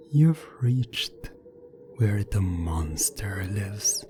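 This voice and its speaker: A man narrating a hypnotic ASMR story in a breathy, often whispery voice, with deliberate, repetitive phrasing. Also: fairly low pitch, noisy background. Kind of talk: slow hypnotic ASMR storytelling, second person.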